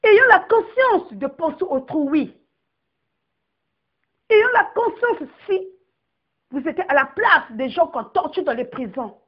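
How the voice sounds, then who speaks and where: A woman's voice: high-pitched, animated, takes it slowly, long pauses, dull audio. A woman from the Republic of the Congo giving an emphatic political address to her compatriots. She declaims and stresses each word of her long lists.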